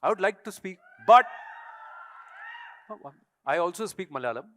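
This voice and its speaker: A man's voice: high voice, animated, a little slow, bright, forceful tone, slight background noise. A man with an Indian accent, a native Tamil speaker, giving an emphatic motivational stage speech full of rhetorical questions to an audience. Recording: echoey hall.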